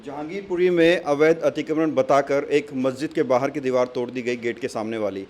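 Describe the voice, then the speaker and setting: A male reporter with an average-pitched voice conducts a news interview. He probes and challenges, framing his questions around counterpoints. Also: steady tone, a little fast, darkish tone.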